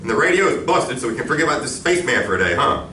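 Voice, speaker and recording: Radio play suitor female actor: breezy, casual, deep for a woman, animated, in a reverberant room. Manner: casual, brisk. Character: breezy, self-assured male suitor. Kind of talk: stage radio drama acting. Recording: echoey, distant live room with noise.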